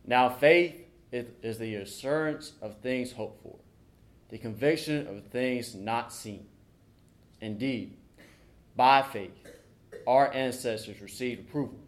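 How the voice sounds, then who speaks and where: A young man preaching and reading scripture at a church service, deliberate, pausing between short phrases. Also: mid-range voice. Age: young adult. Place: church sanctuary during a service.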